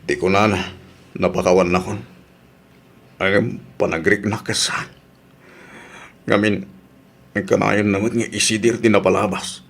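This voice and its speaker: An actor playing a remorseful, troubled husband in a radio drama, pleading hesitantly and stammering. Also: male voice, fairly low pitch, little pitch movement, a little slow, gravelly, long pauses. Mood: downcast, frustrated.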